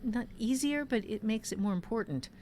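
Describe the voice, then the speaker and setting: A woman hosting an informal talk as a writing teacher, emphatic and halting, often repeating words for stress. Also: fairly high pitch, expressive, dark tone, slight background noise. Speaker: podcast host and fiction-writing teacher. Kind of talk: informal writing advice in conversation.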